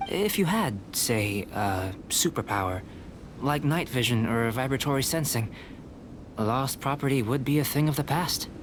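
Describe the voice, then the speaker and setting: Calm polite young alchemist guy man voicing him, measured and a bit teasing, middle pitch, music behind. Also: background noise, close to an everyday voice. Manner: composed, measured, gently teasing. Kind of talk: game voice acting. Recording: studio game audio with music behind. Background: clear music.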